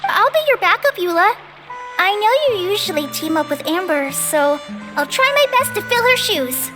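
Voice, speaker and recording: An earnest young female forest ranger from a video game, friendly and keen. Her voice is very high and bouncy. Music plays underneath. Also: big pitch swings, moderate pace. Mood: eager.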